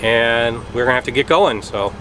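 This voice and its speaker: A man's voice: medium pitch, moderate pace, a bit bright, slight background noise, low-quality recording. Matter-of-fact man at an outdoor campground. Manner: plain and matter-of-fact, with frequent ums. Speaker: RV travel vlogger. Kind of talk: casual on-camera explanation. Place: outdoors at a wooded campground beside a pickup truck. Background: idling truck engine.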